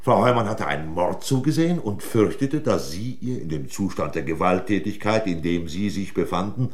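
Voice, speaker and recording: Deep-voiced, sardonic examining magistrate. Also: male voice, expressive. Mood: insistent. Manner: pointed, condescending, deliberate interrogation. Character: shrewd, sardonic male examining magistrate, coolly relentless. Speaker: radio drama actor. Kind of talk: German crime radio play acting.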